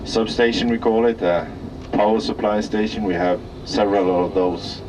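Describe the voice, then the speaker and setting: Low Norwegian-accented man, distant outdoors. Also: fairly even intonation. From Norway. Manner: halting, searching for English words. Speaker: tour guide at an industrial plant. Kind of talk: guided tour commentary. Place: outdoors at an industrial site. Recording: distant voice under loud outdoor traffic noise.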